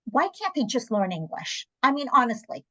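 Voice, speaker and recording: A woman's voice: fairly high pitch, sing-song, normal speed, bright, forceful tone. A woman from Angola and South Africa whose first language is Portuguese, speaking candidly in a conversational panel talk and telling anecdotes with emphatic asides. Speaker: interpreter services guest.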